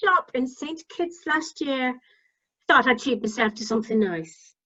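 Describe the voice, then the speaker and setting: A woman's voice: sits high, expressive, moderate pace, sharp tone, exaggerated voice. A woman playing a chatty, forgetful, self-deprecating cruise passenger in an amateur radio comedy. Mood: chatty, wry. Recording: dull online meeting audio.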